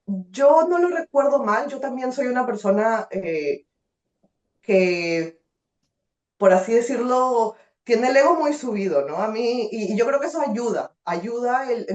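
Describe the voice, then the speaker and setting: A young Peruvian woman with a Peruvian Spanish accent, a guest telling her story in a casual interview, expressive and full of fillers and restarts. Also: on the high side. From Peru. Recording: roomy, slightly dull sound.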